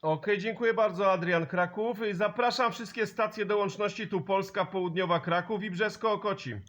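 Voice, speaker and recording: A man's voice: sits high, steady tone, moderate pace, a bit bright, a little hiss or hum. A man working as a CB radio operator, calling other stations in chatty, joking, courteous radio talk. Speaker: amateur CB radio operator, channel host. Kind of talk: CB radio contact calls with radio jargon. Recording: dull lo-fi CB radio recording.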